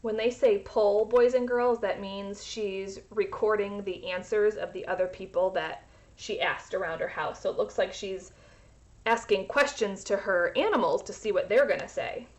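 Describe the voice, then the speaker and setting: A woman reading a children's storybook aloud in an expressive, teacherly way, pausing to explain words to young listeners. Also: mid-range voice.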